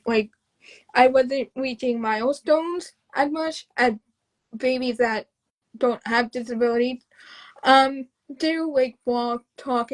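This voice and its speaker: A woman in her twenties, a podcast guest telling her own story casually, often halting and repeating phrases. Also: high-pitched, low-quality recording. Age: 20s.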